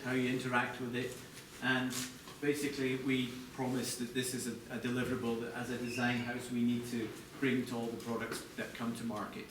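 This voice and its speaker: Male presenter, design talk, measured explanatory delivery, slightly higher-middle register not needed; distant mic in a reverberant, noisy space. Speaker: chief designer presenting products. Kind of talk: product design presentation to a live audience. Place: large echoey indoor presentation space. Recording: echoey room, distant mic, background noise.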